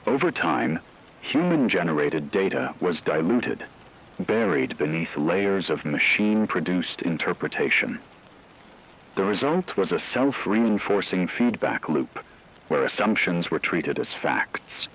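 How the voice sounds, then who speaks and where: A man playing a solemn radio news reporter, delivering a scripted crisis bulletin with husky, deliberate gravity. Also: deep voice, fairly bright tone, exaggerated voice, low-quality recording. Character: grave male radio newsman reporting a worldwide crisis.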